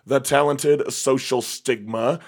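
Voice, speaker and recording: A young man with a slightly raspy voice chatting casually as a podcast host, laughing often and rambling through fillers. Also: mid-range voice, steady tone, takes it slowly. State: slightly raspy voice after a gig. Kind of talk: casual chat.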